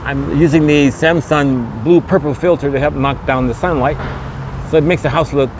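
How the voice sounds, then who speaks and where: Higher-voiced man vlogging over loud street noise. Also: normal speed. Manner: repetitive, promotional catchphrases. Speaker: vlogger and host. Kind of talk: walking vlog narration. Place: outdoors on a street, walking. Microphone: handheld camera. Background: traffic and vehicle noise.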